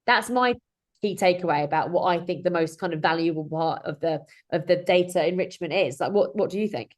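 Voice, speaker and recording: A woman in her thirties talking informally as a webinar host on a video call, chatty and rambling with frequent self-corrections. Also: lowish voice. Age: 30s. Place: indoor room at home or office, on a video call. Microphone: video call microphone.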